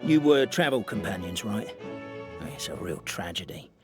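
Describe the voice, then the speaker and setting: An actor playing a theatrical, dithering official in a fantasy game, hesitant, dramatic and wry. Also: male voice, fairly low pitch, big pitch swings, moderate pace, music in the background. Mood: reassuring. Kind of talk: video game voice acting.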